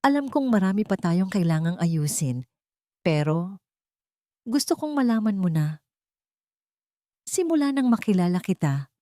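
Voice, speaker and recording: A woman voicing an earnest, gentle young wealthy man, remorseful and in love, in an audiobook drama. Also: fairly low pitch, no echo, clean studio sound. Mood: tender.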